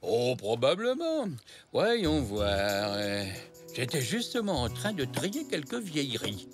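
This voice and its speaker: A man playing a kindly, helpful old grandfather zebra in a children's cartoon, musing and unhurried, with a creaky voice. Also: fairly high pitch, huge pitch swings, faint music behind, full character voice.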